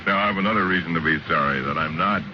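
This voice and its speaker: A man's voice: low voice, racing, natural voice. An actor playing a nonchalant male criminal in a 1940s radio drama, talking in a casual, probing way. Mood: casual, flirtatious. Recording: noisy, dull old radio recording.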